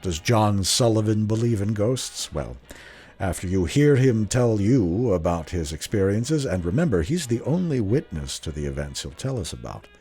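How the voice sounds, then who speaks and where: Deep guy introducing a radio play, measured and theatrical, soft music underneath. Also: big pitch swings, husky. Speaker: host, producer and star of a radio drama series. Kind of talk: scripted dramatic narration. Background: faint music.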